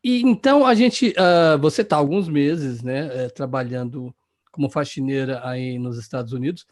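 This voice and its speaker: A woman interviewing a guest, mostly giving short agreeing replies and asking gentle questions. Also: rumbling low, normal speed. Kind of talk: casual interview.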